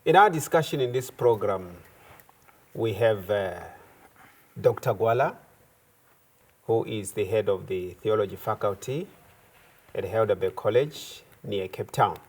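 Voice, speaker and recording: A man hosting a formal discussion program, introducing guests and asking questions in a slow, deliberate way. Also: fairly low pitch, darkish tone, breathy edge. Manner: measured, deliberate phrasing. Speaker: host. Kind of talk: formal talk show hosting.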